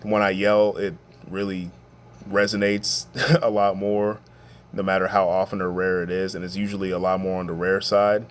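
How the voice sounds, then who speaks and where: A middle-aged man with a deep, bassy voice giving an informal advice monologue, talking conversationally and stressing his points. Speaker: podcast host giving family advice.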